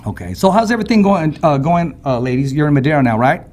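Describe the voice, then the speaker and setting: A man hosts a loose interview over a video call, teasing his guests while he asks questions. He talks fast, and his pitch moves up and down a lot. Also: sing-song, darkish tone. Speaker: host.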